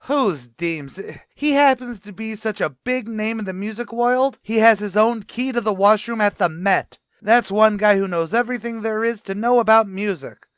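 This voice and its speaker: A man's voice: sits high, moderate pace, few pauses, a bit bright, clean tone, no room sound, clean studio sound. A man playing a wisecracking tavern manager in an old-time radio comedy, talking in brash, ungrammatical tough-guy patter. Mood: bossy. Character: wisecracking middle-aged male tavern manager.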